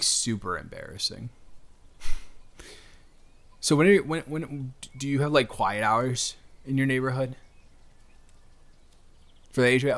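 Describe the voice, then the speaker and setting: A man's voice: medium pitch, fairly bright tone. A man improvising an audio roleplay as a friendly, slightly awkward young stranger, talking casually and earnestly to the listener with stammered restarts. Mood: friendly, curious.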